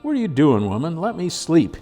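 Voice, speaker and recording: A man voicing a gruff, exasperated frontier husband with folksy rural speech in a storybook reading. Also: a little high, huge pitch swings, a little fast, warm tone, soft background music, voice pushed up. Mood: groggy, irritated.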